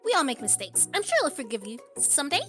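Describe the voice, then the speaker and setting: A woman's voice: sits high, big pitch swings, quick pace, fairly bright tone, soft background music. A woman voicing a sweet, young schoolmate who talks in a consoling, chirpy way in a comic game.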